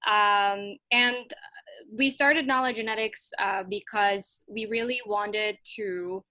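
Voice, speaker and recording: A woman startup founder answers on a panel in a bright, forward voice, recorded close and dry. Her pitch is middling, and she speaks informally, often correcting herself as she goes. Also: fairly even intonation, slow, dry recording. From Indonesia.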